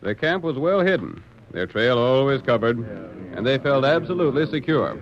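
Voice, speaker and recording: A man's voice: medium pitch, lively intonation, normal speed, close to an everyday voice. A man narrating a scripted old-time radio western. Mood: dramatic. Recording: dull, hissy old radio broadcast.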